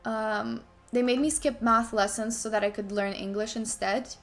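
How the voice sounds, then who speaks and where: A young woman from Ukraine talks casually on her stream, voice sitting a little high. She breaks off sentences and restarts them, tossing in asides to herself as she goes. Soft music runs quietly underneath. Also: steady tone, normal speed. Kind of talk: casual chat while playing chess.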